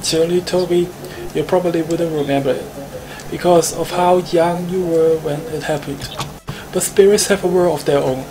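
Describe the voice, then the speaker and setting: A man voicing a calm protagonist in a live visual novel reading, measured and explanatory. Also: a little high, a crowd in the background, close to an everyday voice, poor audio. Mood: explaining. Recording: clear music behind the voice.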